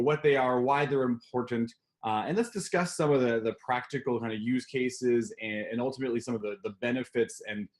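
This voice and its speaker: A man's voice: medium pitch, a little mellow. A man hosting a business webinar, introducing a guest and covering housekeeping in a friendly, loose way with many fillers. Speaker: webinar host. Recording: remote webinar audio.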